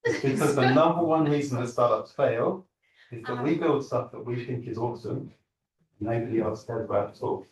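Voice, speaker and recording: A man's voice: medium pitch, moderate pace. Man hosting an event in an echoey hall. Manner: upbeat, joking, addresses the crowd. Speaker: event host. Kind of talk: event talk to a live audience. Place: large lecture hall stage. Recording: roomy hall from a distance.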